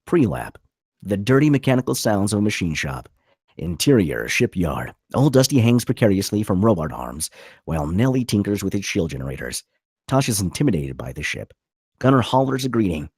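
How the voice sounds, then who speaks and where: A man's voice: on the low side, very animated, brisk, a little mellow, slightly rough, no room sound, clean studio sound. A man reading scripted stage directions as the narrator of a screenplay.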